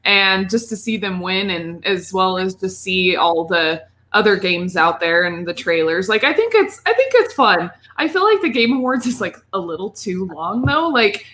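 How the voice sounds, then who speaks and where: Bright, chatty woman podcaster. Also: mid-range voice, big pitch swings, moderate pace, few pauses. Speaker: podcast co-host. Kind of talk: casual gaming podcast chat.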